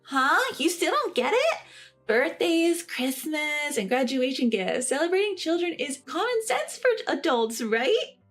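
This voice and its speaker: A woman's voice: high voice, sing-song, a little slow, fairly bright tone, faint music behind, voice pushed up, heavily acted. A woman voicing an entitled, snide sister-in-law who talks down to others. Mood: needling. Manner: condescending, needling.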